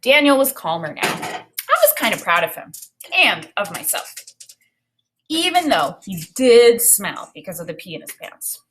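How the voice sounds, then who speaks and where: A woman teacher reading a children's story aloud in an expressive, dramatic way, pausing to comment for learners. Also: medium pitch, slight background noise.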